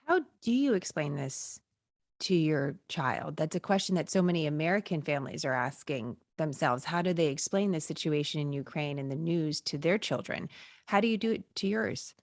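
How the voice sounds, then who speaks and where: Low-voiced woman host, dry sound. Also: expressive, moderate pace, vocal fry, no echo. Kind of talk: interview questions.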